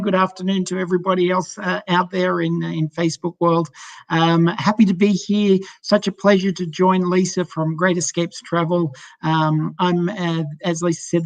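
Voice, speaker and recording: A middle-aged Australian man on a video call, talking in a friendly, chatty way. His voice sits a little high and has a gravelly edge. The sound is dry and close. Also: no room sound. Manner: friendly, chatty, many ums and uhs. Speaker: cruise sales director. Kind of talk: casual video-call presentation.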